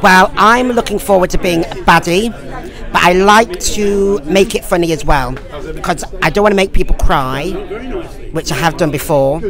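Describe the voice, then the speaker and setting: Effusive English man amid loud crowd chatter. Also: sits high, normal speed. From Lincoln, England. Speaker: pantomime actor interviewee. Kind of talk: casual interview chat.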